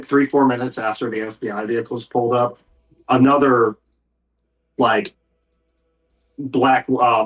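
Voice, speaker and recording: A man's voice: medium pitch, rough recording. Conversational man running a tabletop game. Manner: conversational, halting, voices characters in plain speech. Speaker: game master. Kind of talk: narrating a tabletop game, improvised.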